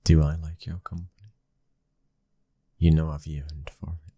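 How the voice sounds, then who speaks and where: A British man acting a protective, devoted young mafia husband in an intimate ASMR boyfriend roleplay, his breathy voice slipping into whispers. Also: little pitch movement, normal speed, mellow, no echo, studio quality. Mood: puzzled, probing. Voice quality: very deep, breathy, husky.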